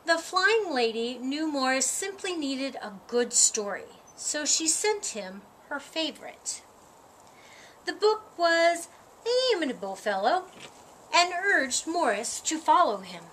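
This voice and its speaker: A woman with a high voice narrates a children's storybook. She takes it slowly, shaping phrases with expressive, deliberate care. There is audible noise in the recording. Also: low-quality recording. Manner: deliberate, dramatic phrasing with drawn-out words. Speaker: storyteller reading a children's picture book.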